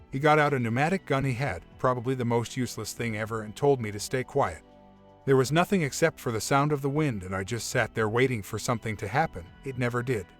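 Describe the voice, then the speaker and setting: A man reading a creepy first-person story aloud in a steady, even manner. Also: mid-range voice. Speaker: narrator. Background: soft background music.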